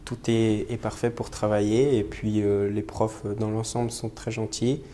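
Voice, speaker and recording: Low, flat-voiced young man. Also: normal speed, slight background noise. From French Guiana. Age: 20s. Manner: hesitant, many fillers. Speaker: butcher apprentice. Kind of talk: interview portrait.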